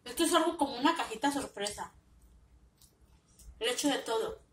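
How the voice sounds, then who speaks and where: A woman's voice: fairly high pitch, expressive, normal speed, fairly bright tone. Woman chatting between bites, roomy echo. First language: Spanish. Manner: talks between bites, hums while eating. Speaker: mukbang vlogger. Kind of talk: casual eating vlog, chatting while chewing. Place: home living room, indoors. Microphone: webcam at table. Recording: echoey room, mic a bit far.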